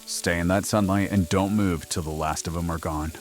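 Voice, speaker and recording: An actor playing a commanding late-night radio host with a dry, sardonic manner in a horror audio drama. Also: male voice, low-pitched, steady tone, moderate pace, natural voice. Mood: dry, composed. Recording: music behind the voice.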